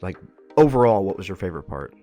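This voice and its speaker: A man's voice: sits low, very animated, fast talker, warm tone. A man hosting a casual interview, asking questions in an inquisitive, encouraging way. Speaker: interviewer, host. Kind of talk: casual interview about a web horror series. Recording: music playing behind. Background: music.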